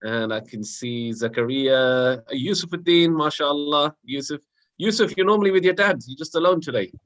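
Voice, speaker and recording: A man's voice: fairly high pitch, normal speed, fairly bright tone. A man co-hosting an online children's session over a video call, chatting casually and teasing lightly as he greets people by name.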